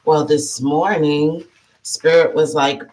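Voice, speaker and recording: A woman's voice: low-pitched, fairly even intonation, slow, darkish tone, low-quality recording. A woman hosting a casual live-stream chat as a psychic life coach, her voice froggy from allergies, chatty and greeting viewers by name.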